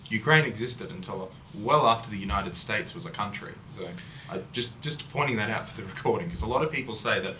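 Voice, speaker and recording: A man's voice: fairly low pitch, expressive, a little fast, few pauses, sharp tone, roomy sound. A man in an interview, adding explanatory points for the record. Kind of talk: casual interview talk. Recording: distant mic with faint noise.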